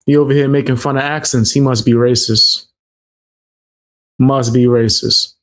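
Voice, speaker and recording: A man's voice: mid-range voice, fairly even intonation, moderate pace, fairly bright tone. A man giving casual reaction commentary in a slangy, ribbing, incredulous way.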